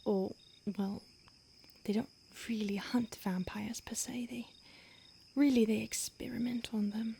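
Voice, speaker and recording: Ancient secretive vampire woman, voiced by a woman, hushed whisper throughout, higher-pitched, faint insect noise behind. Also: steady tone, measured pace, gravelly. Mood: hushed urgent. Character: ancient female vampire on the run, secretive, urgent. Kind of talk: audio roleplay.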